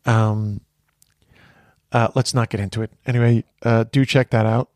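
A man's voice: medium pitch, flat delivery, normal speed, darkish tone, no echo. A man in his forties with an American accent hosting a podcast in casual chat, rambling with frequent restarts. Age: 40s. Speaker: podcast host.

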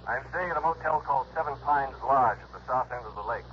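Casting: businesslike American company man, rapid and dry over the phone, vintage radio drama, muffled with faint noise. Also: mid-range voice. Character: dry, businesslike male insurance company official. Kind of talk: mid-century American radio drama acting. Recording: dull, faintly noisy old radio recording.

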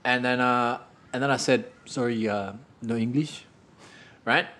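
Homem australiano, conversa descontraída, brincalhão, risadas agudas. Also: male voice, steady tone, measured pace, sharp tone. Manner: jokey, teasing, bursts into high laughs. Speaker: podcast co-host. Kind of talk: casual banter.

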